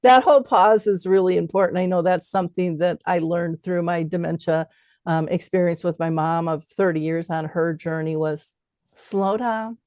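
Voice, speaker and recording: A woman's voice: fairly low pitch, fairly even intonation, normal speed, a little mellow, no room sound. A middle-aged woman with a chatty, affirming manner, hosting a conversational interview. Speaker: interview show host.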